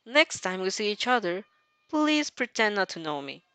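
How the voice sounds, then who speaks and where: A woman's voice: mid-range voice, very animated, moderate pace, sharp tone, clear, resonant tone, no room sound. A woman voicing a polite, guarded and stubborn young woman from anime fan fiction, in a formal, reserved manner.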